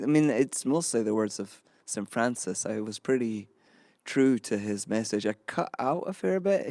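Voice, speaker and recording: A slightly hoarse man, a singer and minister, talking reflectively between songs to a live audience with many fillers and restarts. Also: mid-range voice, no echo, clean studio sound. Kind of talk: relaxed talk between songs at a concert. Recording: guitar playing loudly behind voice. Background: guitar.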